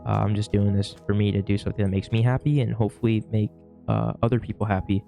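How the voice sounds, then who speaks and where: A sick young man with a worn, gravelly voice, rambling casually through a vlog with lots of fillers and restarts. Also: fairly low pitch, little pitch movement, fast, warm tone, soft background music. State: sick, losing his voice. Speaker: vlogger; shift manager at work. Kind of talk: casual talking-to-camera vlog.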